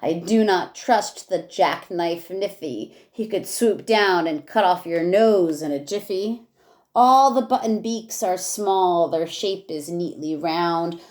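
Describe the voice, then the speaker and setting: A woman teacher reading a rhyming picture book aloud in a measured way, with casual asides. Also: lowish voice, a little mellow.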